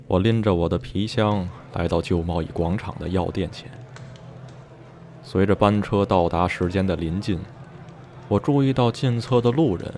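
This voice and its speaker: Man acting a low-voiced, haunted horror narrator. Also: a little mellow, a little hiss or hum, lightly acted. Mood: measured narration. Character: male narrator recounting eerie memories, obsessed and haunted. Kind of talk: dramatic radio play narration. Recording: radio drama with music underneath.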